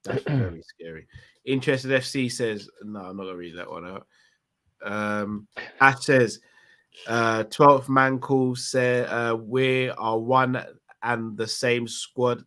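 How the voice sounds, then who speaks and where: A man's voice: medium pitch, fairly even intonation, takes it slowly, bright, forceful tone. A man hosting a live call-in chat, reading out viewer messages and laughing often. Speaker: fan channel host.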